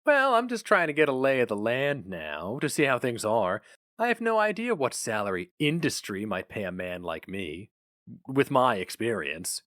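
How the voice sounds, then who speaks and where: A man's voice: medium pitch, sing-song, moderate pace, fairly bright tone, no echo, studio quality, pitched above the performer's own voice. A man voicing an urbane young male job applicant who is polite, eager and affectedly casual. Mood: earnest, polite.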